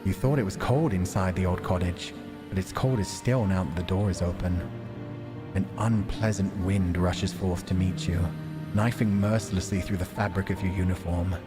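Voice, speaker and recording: Low-voiced man streaming, music behind him. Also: steady tone, moderate pace. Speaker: livestream host playing a visual novel. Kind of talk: reading game narration aloud with casual asides to viewers.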